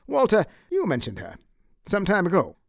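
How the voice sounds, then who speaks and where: Man acting an earnest leader, lilting, slightly raised pitch. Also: huge pitch swings, gravelly, no echo, clean studio sound, heavily acted. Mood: businesslike. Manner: formal, deliberate, courteous. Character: earnest, deliberate male colony leader chairing discussion. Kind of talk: audiobook character acting.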